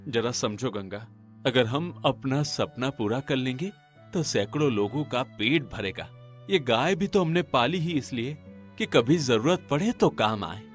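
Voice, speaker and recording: A man's voice: medium pitch, lively intonation, unhurried, faint music behind. A man playing a humble, earnest young villager in a cartoon story, sincere and pleading. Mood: persuasive.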